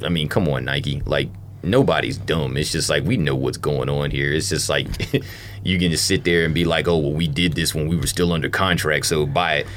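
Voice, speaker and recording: A man's voice: extremely low pitch, moderate pace, fairly bright tone. A man in casual podcast conversation, speaking colloquially and matter-of-factly, circling back over his points. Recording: clear background noise, room ambience.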